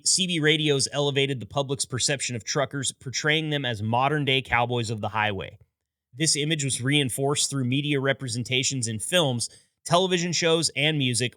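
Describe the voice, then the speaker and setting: Bright, crisp male podcast narrator. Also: medium pitch, dry recording, studio quality. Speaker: podcast host. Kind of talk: scripted narration.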